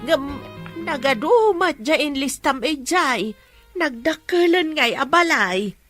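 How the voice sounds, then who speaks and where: An actress playing an older, fretful but gentle village mother in an Ilocano radio drama, with an Ilocano accent. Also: high voice, expressive, slow pace, no echo, soft background music, exaggerated voice. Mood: mild, hesitant.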